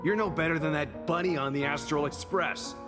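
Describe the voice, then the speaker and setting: A sneering, hostile townsman from a video game, voiced by a man, his courtesy curdling into threats. His voice sits a touch high and rings with a long reverb, with a soundtrack playing behind it. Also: a little fast, lightly acted. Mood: scornful. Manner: smooth politeness turning scornful and threatening. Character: rude adult male local protester, hostile. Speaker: game character. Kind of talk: game voice acting. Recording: reverberant game audio with music.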